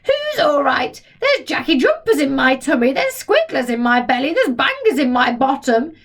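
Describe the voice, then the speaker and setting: A woman's voice: very animated, normal speed, far from the mic, heavily acted. A woman voicing a cranky, bossy old grandmother who nags rudely and screeches in panic. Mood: screeching. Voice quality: shrill, piercing screech. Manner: nagging and rude, then frantic yelling. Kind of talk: storybook character voice.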